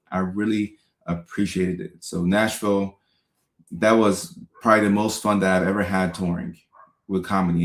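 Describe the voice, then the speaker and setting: A man in his thirties, a comedian born in Ghana, hosting a casual livestream, upbeat and repeating his thanks and shout-outs to viewers. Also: sits low. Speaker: comedian and show host. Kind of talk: casual livestream chat reading out viewer comments. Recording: roomy echo.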